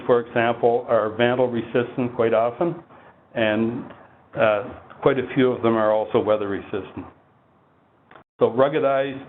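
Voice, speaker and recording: A man presenting technical product training in a measured, explanatory, instructional way. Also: mid-range voice. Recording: muffled phone-like line with heavy noise.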